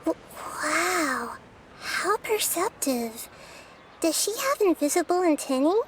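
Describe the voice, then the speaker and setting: A woman voicing a small, childlike goddess in a video game, calm, gentle and knowing, with a soft voice. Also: very high-pitched, takes it slowly, a little hiss or hum, full character voice, low-quality recording. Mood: impressed.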